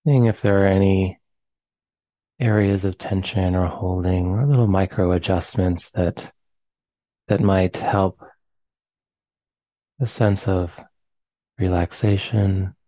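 A man with an American accent, leading a guided meditation in slow, soft phrases with long pauses. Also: deep voice, steady tone, dry recording. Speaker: meditation teacher.

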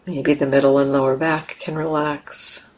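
A woman leading a guided meditation, speaking calmly and gently with long, unhurried pauses. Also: low-pitched. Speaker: meditation teacher.

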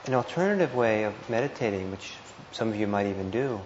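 Low-voiced man guiding meditation, roomy recording. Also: animated, brisk. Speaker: meditation teacher. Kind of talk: guided meditation instruction. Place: indoor meditation hall. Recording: muffled, roomy live talk with noise.

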